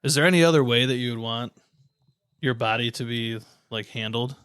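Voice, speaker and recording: A male podcast co-host with a mid-pitched voice and a dry, close sound. He talks casually, with a playful, teasing streak. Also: brisk, a bit bright, clear, resonant tone, dry recording. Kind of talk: casual chat.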